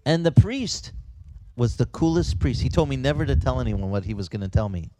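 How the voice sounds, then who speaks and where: A man raised speaking Italian, preaching a sermon as pastor in a conversational, animated storytelling way, with quick asides and restarts. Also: mid-range voice, dry recording, low-quality recording. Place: outdoors.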